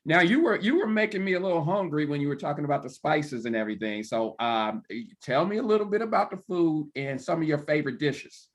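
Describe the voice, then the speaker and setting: A man's voice: on the high side, expressive, fairly quick, few pauses. A man hosting an interview, asking questions and giving brief encouraging affirmations. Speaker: host. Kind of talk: conversational interview.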